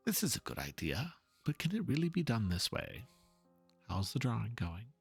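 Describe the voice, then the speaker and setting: A man's voice: mid-range voice, wildly swooping pitch, moderate pace, vocal fry, breathy edge, faint music behind. A man reading video game dialogue aloud in a dramatic reading. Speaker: narrating voice reader.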